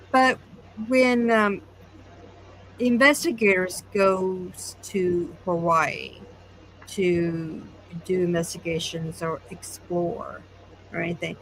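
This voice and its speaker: Lady cohost chatting, talks slow w lots of pauses, faint background noise. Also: fairly low pitch, a bit bright, poor audio. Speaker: podcast co-host. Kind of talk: casual interview chat.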